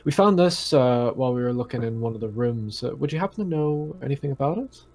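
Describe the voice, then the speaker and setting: A man's voice: medium pitch, expressive, fast talker, a little mellow. A man talking casually as a tabletop roleplaying player, hesitant and polite. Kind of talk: casual game-table chat.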